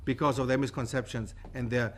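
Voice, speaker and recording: Lively, storytelling man in his forties, a lecturer from Germany, speaking at a table mic in a room with a projection screen. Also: mid-range voice, brisk. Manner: animated, gestures with both hands, tells stories. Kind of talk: university talk with audience questions. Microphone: table mic on a stand.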